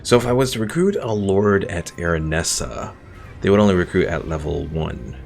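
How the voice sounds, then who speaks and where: Low, gravelly-voiced man streaming a game. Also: normal speed, faint music behind. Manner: relaxed, explains game mechanics with fillers. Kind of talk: casual gameplay commentary answering chat.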